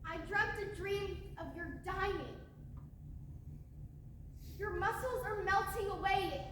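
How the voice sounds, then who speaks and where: A woman's voice: very high voice, sharp tone, full character voice. A young woman acting an anguished, pleading small-town daughter in a school stage play. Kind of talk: high school one-act stage play. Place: stage in an auditorium. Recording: echoey auditorium, distant mic, background hiss.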